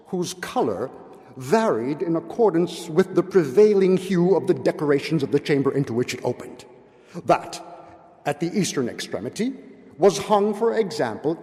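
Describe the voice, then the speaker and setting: Slow, theatrical man reading in a reverberant hall. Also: on the high side, mellow. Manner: measured, deliberate phrasing with dramatic pauses. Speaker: narrator reading a classic tale aloud. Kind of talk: theatrical dramatic literary reading of gothic fiction. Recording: long reverberant hall echo.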